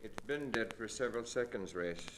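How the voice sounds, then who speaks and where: A man acting a calm, menacing older uncle, a quiet and controlled villain in a 1950s radio drama. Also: lowish voice, normal speed, lightly acted. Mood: cold menace. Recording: noisy old radio recording, off-mic.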